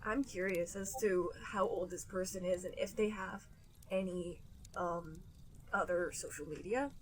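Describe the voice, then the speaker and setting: A woman's voice: lowish voice, steady tone, unhurried, slight background noise, low-quality recording. A woman co-hosting a comedy podcast, chatting casually and offering curious, wry remarks. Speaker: podcast co-host.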